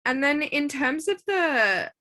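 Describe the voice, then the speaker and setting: Bright-voiced chatty woman interviewer. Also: high voice, very animated, slow. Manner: chatty, many "like"s, eager and encouraging. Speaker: interviewer, show host. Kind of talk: casual interview chat.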